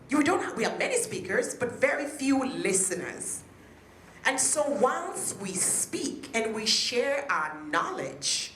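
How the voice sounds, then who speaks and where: A woman's voice: medium pitch, fairly bright tone, a little hiss or hum. A woman from Nigeria giving an emphatic motivational talk to an audience at a peace event, drawing them in with questions. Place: hotel conference room. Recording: echoey conference room.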